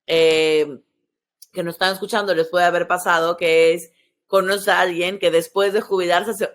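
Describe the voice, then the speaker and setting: A woman's voice: on the low side, little pitch movement, normal speed. A woman in her early forties with a Mexican accent, hosting a podcast and explaining things step by step, often checking in with short tag questions. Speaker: podcast host, sexologist. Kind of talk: conversational explanatory podcast talk.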